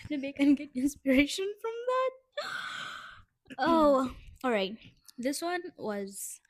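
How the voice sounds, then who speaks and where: Chatty young woman, bright pitch. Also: dry recording. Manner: chatty, reads prompts aloud and laughs often. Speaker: podcast co-host. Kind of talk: casual chat.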